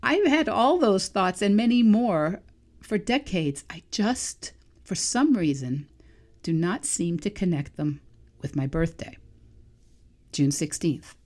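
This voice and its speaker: A woman's voice: mid-range voice, sing-song, takes it slowly, darkish tone. A woman in her sixties hosting a solo podcast as a vegan life coach, talking in a thoughtful, musing way. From New England. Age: 60s. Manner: thoughtful, musing, self-questioning. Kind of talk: solo reflective podcast monologue.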